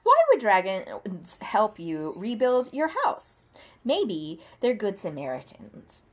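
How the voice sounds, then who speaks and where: A woman in her thirties reading a children's picture book aloud at home, expressively, with theatrical emphasis and deliberate phrasing. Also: mid-range voice, big pitch swings. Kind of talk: animated read-aloud to children. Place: indoor room at home, seated at a table.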